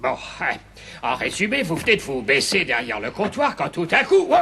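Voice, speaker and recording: An actor playing an irascible, theatrical police chief who blusters in a French cartoon dub. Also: male voice, on the high side, huge pitch swings, a little fast, vocal fry, pushed and bright, slight background noise, heavily acted. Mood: inquisitive, pompous. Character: irascible police chief questioning and scolding his men.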